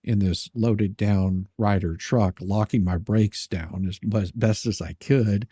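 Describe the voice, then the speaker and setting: Dark-voiced man rambling through a story. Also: on the low side, steady tone, slightly rough, no room sound, studio quality. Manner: halting, rambling storyteller who repeats words. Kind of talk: casual chat, telling personal anecdotes.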